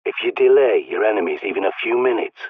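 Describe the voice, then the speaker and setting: An actor playing a gruff ex-army male survivalist with a British accent, giving blunt orders over a radio link. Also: on the low side, lively intonation, quick pace, no room sound. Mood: commanding. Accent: British English. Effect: radio transmission filter. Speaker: radio guide character. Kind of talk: video game voice acting. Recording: tinny radio-filtered dry studio voice.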